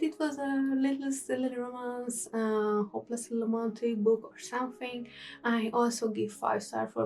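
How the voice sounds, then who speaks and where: A woman's voice: medium pitch, dark tone, recorded from a distance. Hungarian-accented woman chatting about books. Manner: chatty, restarts sentences, non-native grammar. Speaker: book vlogger. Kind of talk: casual reading wrap-up chat.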